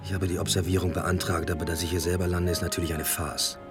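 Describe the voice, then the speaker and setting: A very deep-voiced male police inspector played by an actor in a period crime radio play. He is dry, clipped and sardonic, a driven man. Music runs clearly behind him. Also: flat delivery, quick pace, lightly acted. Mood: dry sarcasm. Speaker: police detective, lead character. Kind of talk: radio play dialogue and inner monologue.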